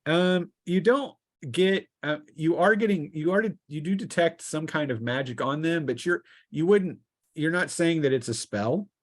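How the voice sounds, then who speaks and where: A man working as game master, improvising narration for a tabletop roleplaying game and often restarting his sentences partway through. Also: fairly high pitch. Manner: halting, restarting descriptions mid-sentence.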